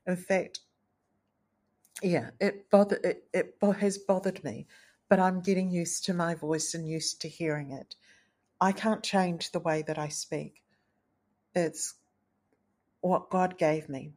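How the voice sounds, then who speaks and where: A woman hosting a solo podcast, speaking in an earnest, gentle, thoughtful way with a low, tenor-like voice. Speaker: podcast host. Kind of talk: reflective solo podcast talk.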